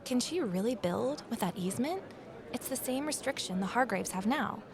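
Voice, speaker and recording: A woman's voice: fairly high pitch, lively intonation, normal speed, a crowd in the background. A woman acting in an audio drama as an earnest, inquisitive amateur sleuth who also narrates her own story. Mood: curious. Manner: earnest, questioning. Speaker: lead character and narrator.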